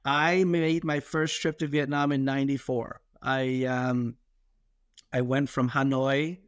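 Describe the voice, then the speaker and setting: Middle-aged male, American accent, mid-pitched voice. Reflective, halting interview delivery, recorded dry. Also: level tone, no room sound. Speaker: podcast guest, investor. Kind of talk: conversational life-story interview.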